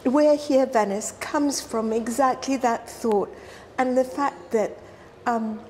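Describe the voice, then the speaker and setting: A middle-aged woman who grew up in London, explaining environmental work in a relaxed sit-down interview, earnest and measured. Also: on the high side, slight background noise. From South Africa, raised in London. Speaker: environmental scientist, interview guest. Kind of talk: conversational interview. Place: hotel lounge, seated on a sofa indoors.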